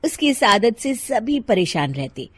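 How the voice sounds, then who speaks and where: A woman tells a scripted moral tale as narrator. Her voice is high, with lively rises and falls. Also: unhurried, slightly rough. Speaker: story narrator.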